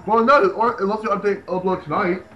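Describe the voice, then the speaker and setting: A man's voice: high-pitched, fairly even intonation, brisk, dull audio, a little hiss or hum. A young man chatting casually while playing a game with friends, goofy and teasing, often repeating himself. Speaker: gamer. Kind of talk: casual gaming chat with friends.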